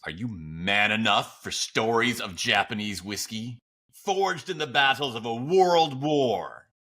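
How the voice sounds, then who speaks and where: A man's voice: medium pitch, lively intonation, bright, forceful tone. A man voicing an over-the-top macho storyteller of whiskey legends, theatrical and challenging in an audio-drama promo style. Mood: grandiose challenge. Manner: slow, challenging, theatrical delivery.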